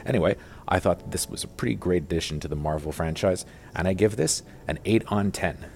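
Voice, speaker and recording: A man's voice: sits low, brisk, creaky, a little mellow, a little hiss or hum. A man delivering a scripted movie review voice-over as a film critic, with music playing behind him. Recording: music bed under the voice. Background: background music.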